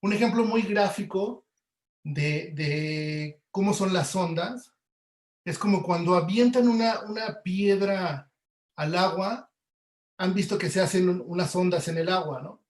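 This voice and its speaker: Higher-voiced Mexican man in an echoey room. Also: unhurried. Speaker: sound artist giving a talk. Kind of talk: informal webinar lecture. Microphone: video call. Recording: roomy, echoey video call audio.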